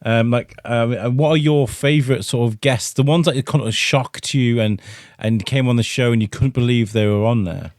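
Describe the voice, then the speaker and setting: A man's voice: medium pitch, moderate pace, a little mellow, dry recording, studio quality. A man hosting a podcast, asking questions in a chatty, jokey way. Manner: chatty, jokey, with playful impressions. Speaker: podcast host. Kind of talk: casual podcast interview.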